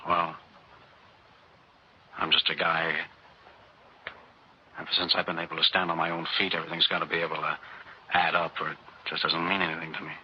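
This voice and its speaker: A man's voice: low voice, completely flat delivery, brisk, pauses often, natural voice. An actor playing a plain-spoken, morally indignant working man in a 1960s radio drama, speaking in earnest, colloquial phrases. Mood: hesitant, brooding. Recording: muffled, hissy old radio recording.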